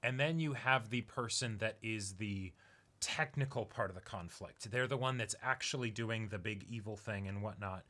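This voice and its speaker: A man's voice: fairly low pitch, moderate pace, sharp tone. A man co-hosting a reaction show, talking casually and at length in a rambling way, with acted-out asides. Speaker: co-host of an anime reaction show. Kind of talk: casual discussion, anime commentary.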